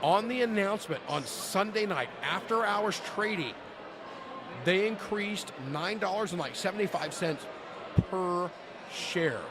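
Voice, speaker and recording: A man's voice: high voice, measured pace, noisy background. A man co-hosting a casual business podcast, talking emphatically and laughing often. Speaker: podcast co-host. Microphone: cheap soundboard.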